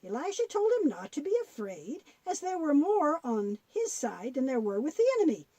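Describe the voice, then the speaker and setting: A woman's voice: sits high, darkish tone, dry recording. A woman playing a kindly, folksy grandmother who patiently tells Bible stories in a children's audio drama.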